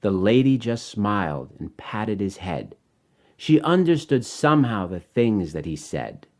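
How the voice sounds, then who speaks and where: A man reading his own rhyming children's story in an expressive, dramatic way. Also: on the low side, a little slow.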